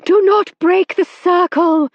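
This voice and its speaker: A woman voicing a theatrical female spirit medium with a high, faraway, dramatic delivery, on a dry, slightly dull recording. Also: animated, takes it slowly, no echo, full character voice. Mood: commanding.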